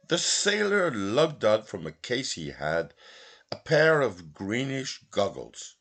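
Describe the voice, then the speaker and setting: A man from Belfast reads literary prose aloud in a measured, theatrical narrating style. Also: low-pitched, gravelly, a bit bright, husky. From Belfast, Northern Ireland. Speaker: literary reader. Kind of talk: expressive literary audiobook narration.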